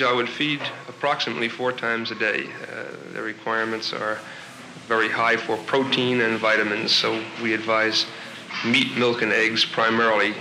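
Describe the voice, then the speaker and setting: A man speaking as a veterinarian, explaining things in a clinical, methodical and formal way. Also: medium pitch. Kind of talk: explanatory advice to a client. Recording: noisy 1950s portable tape recording.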